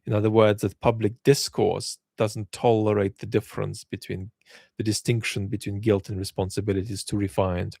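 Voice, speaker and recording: A man's voice: lowish voice, flat delivery, normal speed, gravelly, darkish tone, dry recording, clean studio sound. A man hosting a question-and-answer chat, speaking thoughtfully and often repeating words as he restarts a sentence. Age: adult, lived in Israel as a child aged nine to twelve. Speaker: channel host answering viewer questions. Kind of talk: livestream Q&A commentary.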